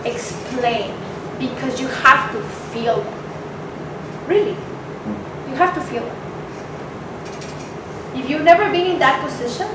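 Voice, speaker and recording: A woman from Mexico, a guest speaker, telling a personal story to an audience in a candid, animated way. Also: sits high. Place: event hall with audience. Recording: echoey hall, mic from far off, noisy.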